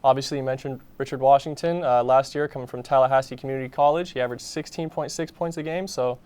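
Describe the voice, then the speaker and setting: A man's voice: mid-range voice, steady tone, fast, darkish tone, clear, resonant tone. A man giving casual sports commentary as a panelist in a discussion.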